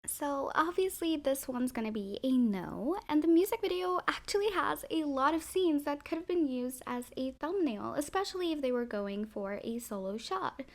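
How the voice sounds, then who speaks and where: A woman with a high voice that has gone hoarse, talking through a scripted voice-over. She rates things with playful, sarcastic jabs and strong opinions. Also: lively intonation, normal speed, few pauses. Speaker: YouTuber reviewing music video thumbnails.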